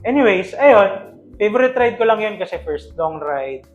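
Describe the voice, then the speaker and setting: Man, Filipino accent, high pitch, casual podcast storytelling, English mixed in, background music. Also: expressive, normal speed, highs cut off. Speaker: podcast co-host.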